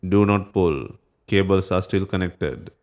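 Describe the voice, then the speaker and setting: Deep-voiced man narrating a repair tutorial. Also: unhurried, darkish tone. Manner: terse step-by-step instructions. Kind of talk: scripted instructional narration.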